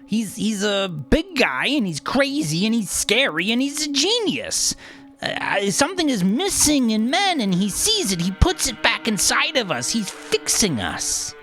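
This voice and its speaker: High, stammering young cultist. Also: male voice, wildly swooping pitch, unhurried, sharp tone, soft background music, exaggerated voice. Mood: scared, awed. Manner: stammering, rattled, awed. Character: frightened, fanatical young male cult follower. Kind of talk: audiobook character acting.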